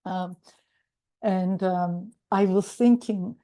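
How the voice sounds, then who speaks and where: A woman with a mellow, darker tone speaks in an earnest, unhurried way, pausing often and restarting her phrases. Her voice is slightly raspy from a cold, and she comes through a video call. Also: mid-range voice, steady tone. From Greece. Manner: warm, earnest, with frequent hesitations and restarts. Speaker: guest speaker at a recovery meeting. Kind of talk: personal sharing talk.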